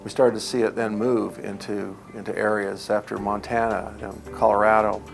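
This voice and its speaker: A man's voice: fairly low pitch, level tone, moderate pace, creaky, faint music behind. A man recalling past events in a documentary interview, telling anecdotes and quoting remembered conversations.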